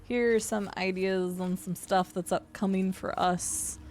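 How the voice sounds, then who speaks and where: A young adult woman with a gravelly voice and deep vocal fry, chatting casually while streaming a game. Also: fairly even intonation, a little slow, a little mellow, slight background noise. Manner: chatty, swears and laughs at the game. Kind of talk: casual live-stream chat while gaming. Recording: faint game music behind.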